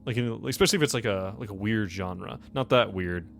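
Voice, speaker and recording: A man with a fairly low voice hosts a tabletop gaming podcast. He banters casually, teasing his friends and swearing freely, with faint music underneath. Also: fairly quick, creaky, fairly bright tone. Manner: teasing, profane. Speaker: game master and host of a tabletop podcast.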